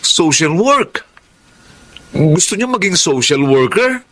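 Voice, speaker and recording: A man's voice: fairly high pitch, big pitch swings, takes it slowly, a bit bright, exaggerated voice. A man playing a callous, skeptical middle-aged Filipino uncle in a radio drama, scoffing and blunt. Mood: scornful, dismissive. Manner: scoffing, emphatic delivery.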